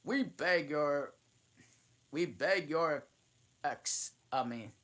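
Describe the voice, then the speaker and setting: A man voicing a solemn, self-important male dodo bird in a storybook reading, speaking gravely and stumbling over his words. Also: a little high, animated, extremely slow, fairly bright tone, voice pushed up, exaggerated voice.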